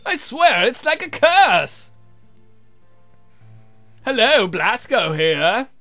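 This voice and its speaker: A courtly vampire count acted by a man from a comic horror game, theatrical and exasperated. The voice is bright and high for a man, delivered with formal, dry wit over faint music. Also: sing-song, drawn-out words, full character voice. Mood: bored, exasperated. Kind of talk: visual novel character voice.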